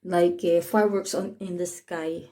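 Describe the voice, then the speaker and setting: A woman with a Filipino accent, Tagalog her first language, talking to her vlog audience in plain, simple English. Her voice sits a little low and sounds soft and mellow. She goes slowly, stopping to restart her sentences and filling gaps with "uh.". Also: steady tone. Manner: halting, many fillers and restarts, simple explanatory English. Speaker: caregiver and vlogger. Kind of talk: casual update vlog. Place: home in northern Israel.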